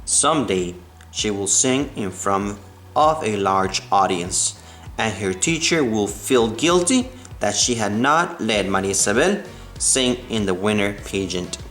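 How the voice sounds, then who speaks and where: A man reading a children's book aloud in a measured way, stumbling now and then. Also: fairly low pitch, animated, a bit bright. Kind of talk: storybook read-aloud. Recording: roomy echo, music behind the voice.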